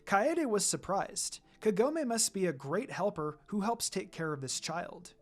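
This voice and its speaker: Lively story narrator with varied pitch. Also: a little slow, faint music behind. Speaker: narrator reading fan fiction. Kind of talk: expressive amateur story narration.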